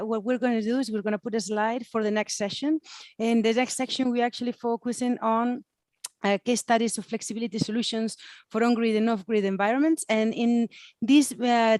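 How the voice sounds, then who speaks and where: A woman's voice: a little high, dry recording. A woman moderating an online event in an informal, chatty, encouraging way. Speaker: webinar moderator. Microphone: computer mic on video call.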